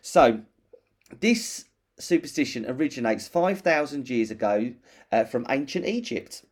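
A man's voice: medium pitch, animated, a little slow. A British man co-hosting a casual podcast, chatting with enthusiasm and telling stories. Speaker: podcast co-host. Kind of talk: casual chat.

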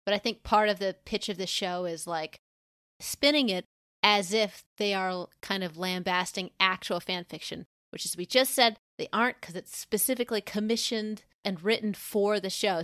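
A woman's voice: mid-range voice, fairly bright tone, no echo, studio quality. A woman co-hosting a podcast in casual discussion, thinking aloud in a rambling, informal way full of filler words. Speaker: podcast co-host.

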